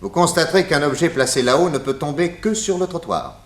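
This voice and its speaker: A man's voice: a little high, fairly quick, roomy sound, natural voice. An actor playing a shrewd, authoritative male master detective in a French radio serial, methodical in his questions and explanations. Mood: confident, emphatic.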